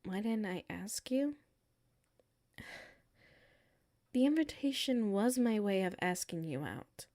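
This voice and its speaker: A woman's voice: mid-range voice, mellow, natural voice. Girl acting out a huffy tsundere office lady w a crush, hurt n defensive, emphatic then mumbly, roleplay audio style. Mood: deflated, sheepish. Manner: exasperated, emphatic, dropping into sulky murmurs. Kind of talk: roleplay audio acting, one side of a conversation.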